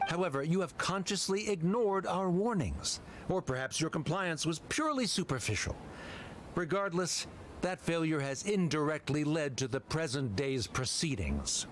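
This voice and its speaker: An actor playing a stern, cold, accusing academy official in a video game, speaking formally and imperiously. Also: male voice, a little high, animated, moderate pace, slight background noise, close to an everyday voice. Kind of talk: scripted video game voice acting.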